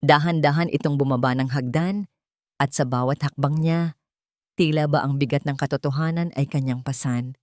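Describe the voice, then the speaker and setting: A woman's voice: low-pitched, fairly even intonation, normal speed, a little mellow, no room sound, studio quality. A woman narrating a melodramatic story as a scripted, dramatic reading.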